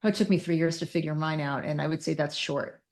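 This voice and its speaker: Woman w a low voice, interview guest, clinician type explaining stuff, technical and emphatic. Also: steady tone, fairly quick, a little mellow. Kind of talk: explanatory interview talk from personal and clinical experience.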